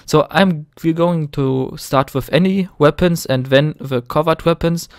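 A man's voice: a little high, a little slow, clear, resonant tone, no echo. Young german guy doing his first english tutorial, nervous, lots of ums, clean dry sound. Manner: nervous, hesitant, many fillers and restarts. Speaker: gaming tutorial host. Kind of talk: screen-recorded tutorial narration.

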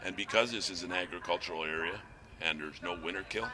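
A man's voice: low voice, quick pace. A middle-aged man from Canada, a nursery company president, answering interview questions casually and rambling with many restarts. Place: outdoors on a farm, then inside a greenhouse. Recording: noisy outdoor recording.

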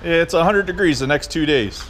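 A man's voice: fairly high pitch, brisk, a bit bright, a little hiss or hum. A man vlogging with a chest camera while browsing a covered outdoor flea market, chatting casually and friendly with sellers. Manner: easygoing, friendly small talk with sellers. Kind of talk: casual chat while shopping. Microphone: chest-mounted action camera. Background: crowd chatter.

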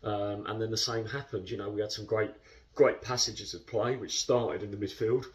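A man in his thirties, a football club captain, talking through a match in a post-match interview, chatty and measured with many fillers. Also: lowish voice, fairly even intonation, distant mic. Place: indoor club room.

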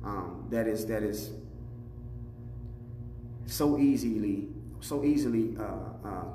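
A middle-aged man, an imam, giving a religious teaching address in a deliberate, explanatory way, repeating phrases for emphasis. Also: lowish voice, dark tone. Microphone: lapel mic. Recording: echoey room, reverb, faint background noise.

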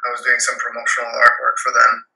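A man's voice: mid-range voice, monotone, quick pace, gravelly, sharp tone. A young man from Romania answering casually as an interview guest over a video call. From Romania, raised in Austria, Germany and New York. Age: young adult. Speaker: guest, graphic artist. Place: home room with desk, indoors. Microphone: video call, computer at desk distance. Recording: roomy, tinny video-call audio.

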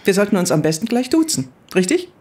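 A woman's voice: medium pitch, big pitch swings, fast, a little mellow, natural voice. An actress playing a composed, breezy middle-aged woman acting as a stand-in mother in an audio drama. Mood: breezy, familiar. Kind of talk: naturalistic audio drama dialogue.

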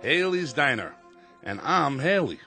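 Folksy chatty diner cook in a radio play, bright lively voice, faint music. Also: male voice, on the high side, measured pace, exaggerated voice. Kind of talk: radio drama acting.

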